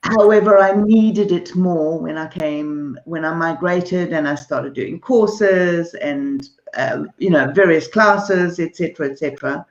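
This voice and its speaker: A woman from Zimbabwe and South Africa with a South African accent, a guest answering interview questions in a relaxed, thoughtful way with many hesitations. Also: on the low side, unhurried, hardly any pauses. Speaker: artist and author, interview guest. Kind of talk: casual video-call interview. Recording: dull lo-fi video call in a roomy space.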